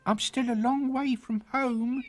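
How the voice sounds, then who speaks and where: A man's voice: very high-pitched, fairly even intonation, a little slow, faint music behind, voice pushed up. A man voicing a tiny, polite, eager little boy in a fairy tale.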